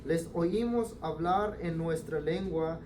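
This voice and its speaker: A man in the congregation, reading a scripture passage aloud slowly and carefully in a church. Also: dark tone. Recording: echoey room with faint rumble.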